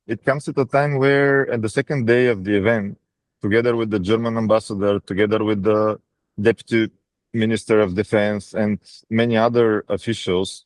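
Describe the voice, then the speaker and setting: Man, Bulgarian accent, low even voice, dry sound, halting corporate answers with repeated words. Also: no room sound. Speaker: defense practice lead at a technology company. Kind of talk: answering questions on an earnings call.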